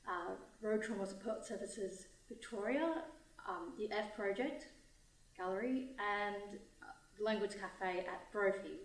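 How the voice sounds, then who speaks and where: A woman's voice: medium pitch, unhurried. A woman volunteer talking casually, full of "you know", in an interview. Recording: echoey, far from mic.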